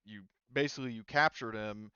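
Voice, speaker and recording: A man's voice: lowish voice, a little fast, dull audio, crowd noise. A man acting as game master, casually explaining things to players in an informal way during tabletop roleplaying talk.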